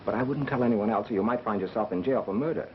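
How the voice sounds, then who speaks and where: An actor playing a calm, matter-of-fact radio engineer turned amateur detective in a 1930s mystery film. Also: male voice, fairly low pitch, quick pace, slight background noise, close to an everyday voice. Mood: assured, explaining. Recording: muffled 1930s film soundtrack with music.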